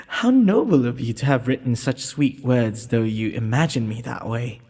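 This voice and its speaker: Guy doing a gentle young prince for romance roleplay, soft husky breathy voice, polite n formal, bit nervous, big echoey hall. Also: medium pitch, animated, a little slow. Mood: amused, teasing. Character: gentle, courteous young underground prince, nervous, earnest suitor. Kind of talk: romantic audio roleplay acting. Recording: long hall-like reverb.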